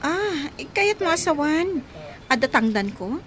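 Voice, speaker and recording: A woman's voice: sits high, very animated, unhurried, fairly bright tone, soft background music, exaggerated voice. A woman acting an inquisitive, eager young villager in an Ilocano radio comedy drama. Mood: eager, probing.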